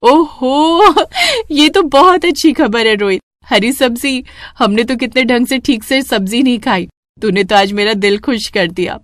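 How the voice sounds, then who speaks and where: A woman voicing a poor, hot-tempered but loving mother in a cartoon moral story, speaking in an expressive, exclaiming way. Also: on the high side, measured pace, no room sound, studio quality, close to an everyday voice. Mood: delighted. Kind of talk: animated moral-story dialogue.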